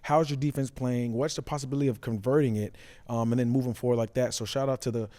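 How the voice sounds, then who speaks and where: A man's voice: medium pitch, steady tone, fast, dark tone. A man working as a sports analyst, talking casually in slangy language and often repeating his phrases. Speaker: former player turned sports show analyst. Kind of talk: casual sports show discussion.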